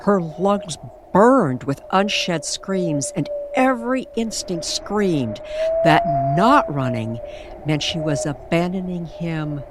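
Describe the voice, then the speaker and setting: Female narrator for an eerie radio-style tale: unhurried, highly animated, suspenseful pauses. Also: noisy background. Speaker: storyteller narrator. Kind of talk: dramatic scripted narration of a paranormal radio story.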